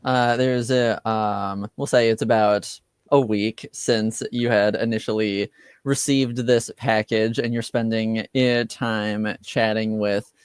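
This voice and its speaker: A man working as game master, improvising tabletop game narration hesitantly, with many halting pauses. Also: lowish voice, fairly even intonation, clean tone, no room sound, clean studio sound.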